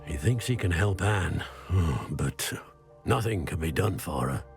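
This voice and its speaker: An actor playing a weary, resigned and stern older uncle who speaks tersely in a game cutscene. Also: male voice, deep voice, expressive, measured pace, faint music behind. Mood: weary, bitter.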